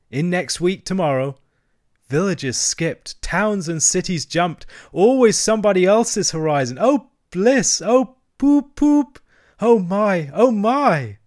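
A man's voice: mid-range voice, dry recording, studio quality. Man voicing a rapturous, dreamy, murmuring storybook toad. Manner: murmuring, rhapsodic, drawn-out delivery. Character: dreamy, infatuated toad, rapturous and self-absorbed.

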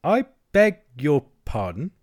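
A man voicing a sensible, stubborn water rat in a storybook, in a measured, dry, firm manner. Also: a little high, very animated, darkish tone, voice pushed up. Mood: stiff, incredulous. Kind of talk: storybook character acting.

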